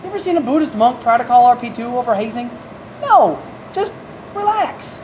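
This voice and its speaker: Puppet comedy: wheedling young male prankster, animated, over clear background noise. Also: very high voice, fairly quick. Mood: pleading, agitated. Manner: pleading, slangy. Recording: noisy room camera audio.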